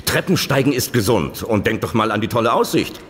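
Sci-fi radio bit part: gruff, mocking official, animated intonation, colloquial, long reverb and faint noise. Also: male voice, fairly low pitch, fairly quick. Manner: brusque, sarcastic, colloquial. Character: gruff, sarcastic male housing desk clerk, brusque and mocking. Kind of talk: German radio play acting.

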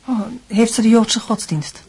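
An actress playing a middle-aged mother in a radio drama, fond but gently reproachful, asking measured, probing questions. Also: on the high side, husky.

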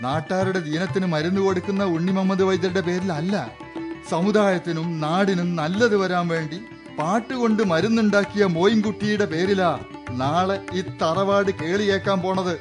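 High-voiced Malabar village man played by an actor. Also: steady tone, moderate pace, close to an everyday voice. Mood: proud. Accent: Malabar Malayalam. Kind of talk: radio drama acting. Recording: radio drama with music underneath.

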